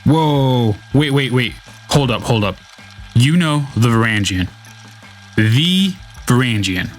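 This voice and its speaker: A man's voice: mid-range voice, animated, takes it slowly, soft background music. Wisecracking, exasperated space sidekick, played by a man. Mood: incredulous. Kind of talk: amateur sci-fi radio drama acting.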